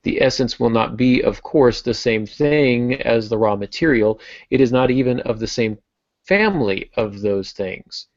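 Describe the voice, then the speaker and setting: A man's voice: mid-range voice, lively intonation, measured pace, dry recording. A middle-aged man co-hosting a podcast, chatting about books in a reflective, wryly self-deprecating way. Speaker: podcast co-host and writer. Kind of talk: casual literary discussion. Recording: muffled lo-fi podcast audio.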